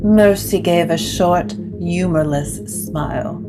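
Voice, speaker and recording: Slow, lowish female gothic narrator. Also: music in the background. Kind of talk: scripted gothic story narration.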